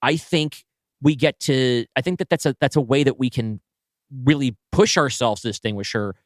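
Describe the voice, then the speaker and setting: A man with a medium-low voice talks casually as a podcast co-host. He reasons aloud in an analytical, conversational way, and the sound is dry, with very little room in it. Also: moderate pace, no room sound. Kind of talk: casual story brainstorming.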